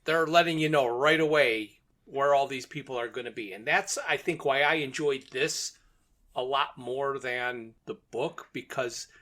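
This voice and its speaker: A man's voice: medium pitch, measured pace, pushed and bright. A man co-hosting a podcast, casually discussing and retelling a story in a conversational way. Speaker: podcast co-host.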